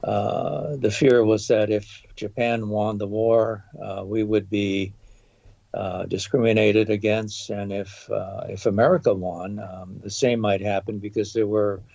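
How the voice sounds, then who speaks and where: A man in his seventies from Hawaii, a podcast guest recalling his life in a measured way, pausing often with um and uh. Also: lowish voice, steady tone, creaky, darkish tone, no echo. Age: 70s. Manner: measured, with frequent um and uh pauses. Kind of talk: thoughtful reminiscing interview. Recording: dull, lo-fi dry recording.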